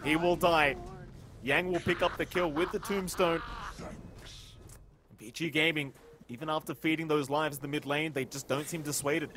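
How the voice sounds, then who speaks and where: A man doing excitable play-by-play commentary on a video game match, teasing his co-caster and climbing in pitch at big plays. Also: normal speed, pauses often, a little hiss or hum. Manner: excitable, teasing, rises sharply in big moments. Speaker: esports caster.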